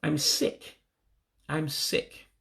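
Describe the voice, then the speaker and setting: A man, a native English speaker, slowly and carefully teaching greeting phrases he is still learning, sounding them out and correcting himself. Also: a bit bright. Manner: slow, careful, tentative pronunciation with self-corrections. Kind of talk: self-taught language lesson.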